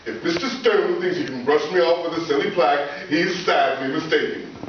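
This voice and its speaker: A man's voice: on the high side, moderate pace, muffled recording, lightly acted. A man playing a vain, pompous school principal with grandiose, florid delivery in old-time radio comedy style. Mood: indignant grandiose. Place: live stage performance in a reverberant room. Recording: echoey distant stage recording, faint noise.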